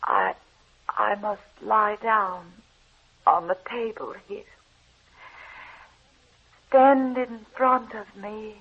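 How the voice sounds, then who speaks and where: Slow, husky undead woman. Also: medium pitch, steady tone, exaggerated voice. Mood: weary, final. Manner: slow, solemn, portentous. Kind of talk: old-time radio horror acting. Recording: lo-fi old radio broadcast.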